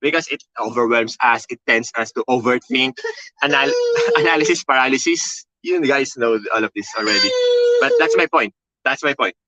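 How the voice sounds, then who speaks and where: A man with a Filipino accent and a loud voice, teaching a motivational webinar with emphatic, high energy, repeating key phrases and often checking in with okay and all right. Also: normal speed, dull audio, background noise. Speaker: content creation coach.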